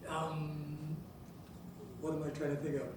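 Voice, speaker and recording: A man speaks up casually from an audience, rambling and searching for his words. His voice is a little high. He sounds distant in a heavily echoing room with clear noise. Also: completely flat delivery, measured pace. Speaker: audience member. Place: public room at a library event. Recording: very echoey noisy room, far from mic.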